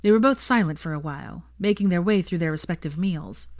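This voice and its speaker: A woman reading fiction aloud as an audiobook narrator, measured and gentle in her storytelling. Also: on the low side, no echo, studio quality. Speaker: audiobook reader of fan fiction.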